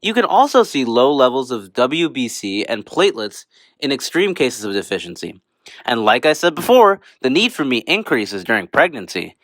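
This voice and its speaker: An actor plays a cocky male vitamin character in an educational skit. He is sarcastic and self-satisfied, with a casual delivery. His pitch leaps around a lot, and the sound is dry, with no room to it. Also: very animated, normal speed, a bit bright, no room sound. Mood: explaining smugly.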